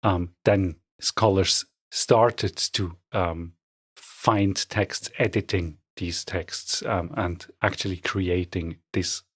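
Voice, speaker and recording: A man speaking as a guest scholar in an interview, answering thoughtfully with frequent pauses and ums. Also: deep voice, fairly even intonation, fairly bright tone, husky, dry recording, clean studio sound. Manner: hesitant, thoughtful, many ums.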